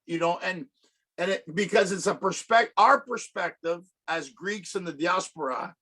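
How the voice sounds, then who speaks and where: A middle-aged man hosting a chat show, telling rambling, animated anecdotes with many restarts. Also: high voice, normal speed, breathy edge. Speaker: podcast host. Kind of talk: casual interview chat.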